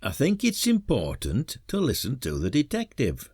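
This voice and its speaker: An actor playing a reluctant, older retired detective in a podcast drama, dry and weary with an air of authority. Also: male voice, mid-range voice, huge pitch swings, normal speed, darkish tone, husky. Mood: weary, resigned. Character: retired village police detective, gruff and reluctant.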